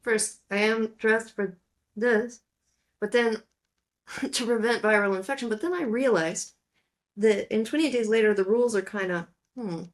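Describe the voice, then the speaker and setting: A woman's voice: a little high, moderate pace. A woman co-hosting a casual film discussion, talking in a playful, jokey way that wanders into digressions. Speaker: podcast co-host.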